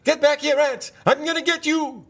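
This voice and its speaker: A man's voice: very high-pitched, steady tone, normal speed, pitched above the performer's own voice. A man putting on a pushy cartoon aardvark with a Yiddish lilt. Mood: pestering.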